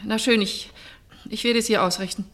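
A woman's voice: medium pitch, fairly even intonation, moderate pace, fairly bright tone, close to an everyday voice. Composed mother, played by an actress. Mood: guarded. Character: composed mother relaying grave news. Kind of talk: German radio drama acting.

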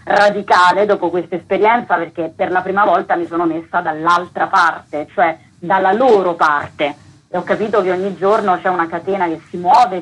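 A woman's voice: fairly low pitch, breathy edge, low-quality recording. A woman explaining things earnestly and emphatically as an interview guest. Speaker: guest, theatre author and actress.

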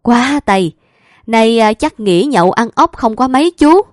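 A woman's voice: fairly high pitch, expressive, measured pace, no room sound, clean studio sound. Woman voicing a joking old Southern landowner. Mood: teasing. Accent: Southern Vietnamese. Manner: joking, blunt rustic talk. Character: teasing jovial older male landowner.

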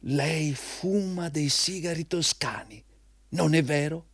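An actor playing an ingratiating, eager job applicant in a theatrical Italian radio drama, effusive and insistent in manner. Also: male voice, on the high side, slow pace, fairly bright tone, breathy edge, no room sound, exaggerated voice. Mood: savoring, flattering.

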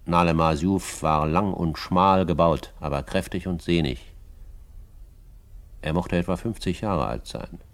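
An actor playing a self-assured frontiersman who narrates his own adventures in a radio drama, in a measured storytelling manner. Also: deep voice. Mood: assured, suspenseful. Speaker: first-person narrator.

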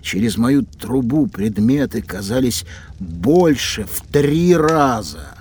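An actor playing a proud, absorbed Italian scientist of the seventeenth century in an educational audio drama, speaking deliberately and theatrically with pauses. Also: male voice, mid-range voice, expressive, darkish tone, a little hiss or hum. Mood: proud explaining.

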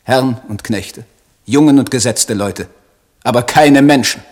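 An actor playing an idealistic, embittered young poet in a literary radio play, declaiming solemnly and rhetorically. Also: male voice, medium pitch, a little slow, darkish tone, natural voice. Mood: bitter indignation. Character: idealistic, embittered young male German poet, brooding and impassioned.

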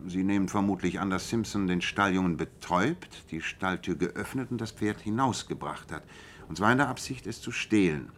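An actor playing a shrewd, self-assured male detective in a radio play, explaining in a measured and precise way. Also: deep voice, fairly bright tone, slight background noise. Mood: analytical, assured. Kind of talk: German radio play dialogue.

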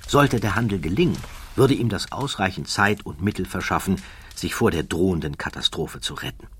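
A man's voice: deep voice, moderate pace, a bit bright. A man narrating an audiobook, telling the story dramatically with rising and falling emphasis.

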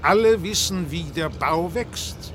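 A calm, evasive official talks in a radio play. His voice is high for a man and formally composed, with distinct engine noise behind it. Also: slow pace. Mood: composed, reverent.

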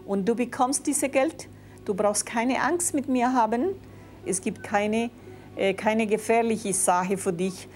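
A woman with a foreign accent giving halting interview answers in non-native German, repeating her words. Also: on the high side, darkish tone, slight background noise. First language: not German.

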